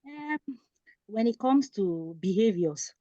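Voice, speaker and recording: A woman of medium pitch talks as a guest parent, sharing personal stories conversationally. The recording is dry and slightly dull. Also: animated, slow pace, warm tone, no echo. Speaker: guest parent on a talk show.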